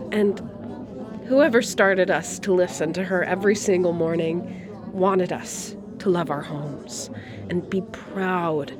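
Actress doing a wistful older syrian refugee lady, monologue, lowish voice, really unhurried, busy coffee shop behind her. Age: 60s. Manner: reflective, conversational, pausing between phrases. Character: wistful, proud 65-year-old Syrian refugee woman, retired art teacher. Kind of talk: dramatic monologue as intimate coffee shop chat. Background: cafe crowd chatter.

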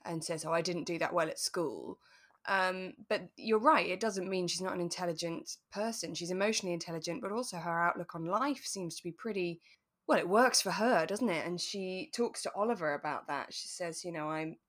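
A woman's voice: lowish voice, brisk, a bit bright. A British woman co-hosting a podcast, chatting casually and thoughtfully, explaining her points in an agreeable way. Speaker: podcast co-host. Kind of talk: casual chat discussing a radio drama.

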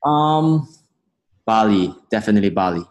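A man in his twenties from Indonesia, an interview guest speaking earnest, halting English. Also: on the low side, lively intonation, muffled recording. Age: 20s. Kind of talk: casual interview.

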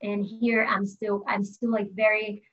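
A woman's voice: medium pitch, level tone, a little slow, fairly bright tone. A young woman from Brazil, a musician being interviewed, talking casually with lots of fillers and little laughs. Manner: chatty, frequent "like" fillers, laughs while talking. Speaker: musician guest. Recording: slightly dull lo-fi sound.

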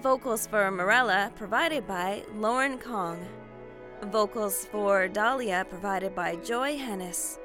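A woman's voice: medium pitch, slow, music in the background. A woman announcer giving a scripted reading of the closing credits.

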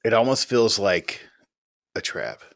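A man talks casually on a podcast. His voice sits low, and he rambles through strong opinions, swearing freely. The sound is dry and close. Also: very animated, slow pace, gravelly, a bit bright, no room sound. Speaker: podcast co-host. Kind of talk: casual chat.

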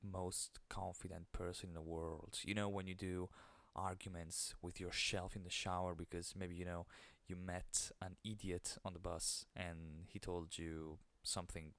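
A man with an Italian accent hosting a podcast alone, keeping his voice low and soothing, with an occasional stutter into the microphone. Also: flat delivery, measured pace, few pauses, fairly bright tone, no room sound. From Italy. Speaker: podcast host. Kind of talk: casual solo monologue.

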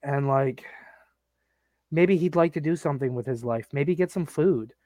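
A man in his late thirties talks in loose, crude banter, rambling and joking as he goes. His voice sits a bit high for a man. The sound is close and dry, with no room around it. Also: moderate pace, a little mellow, no echo. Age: 30s. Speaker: podcast co-host.

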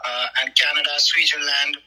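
A man from Nepal with a Nepali accent, answering interview questions as a trekking guide in halting, repetitive non-native English. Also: a little high, flat delivery, sharp tone, poor audio.

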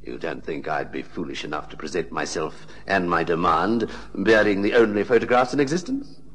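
An actor playing a smug, sneering blackmailer in a 1960s radio drama, speaking formally and mockingly with a gravelly, husky voice. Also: male voice, deep voice, animated, moderate pace, fairly bright tone, full character voice. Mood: gloating, threatening. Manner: mocking, pompous, formal diction. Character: smug, sneering male blackmailer, coolly menacing.

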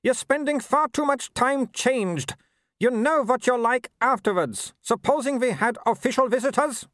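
A man voicing a haughty, snobbish older werewolf baroness who talks with sharp scorn. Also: very high-pitched, moderate pace, dry recording, studio quality, voice pushed up. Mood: scolding.

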